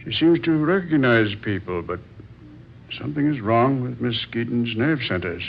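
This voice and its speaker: A serious, kindly doctor in a vintage radio play. His voice is mid-pitched and deliberate, with pauses. The recording is muffled with faint noise. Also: expressive, creaky. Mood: grave. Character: grave, kindly hospital doctor. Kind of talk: old-time radio drama acting. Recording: dull, noisy old radio recording.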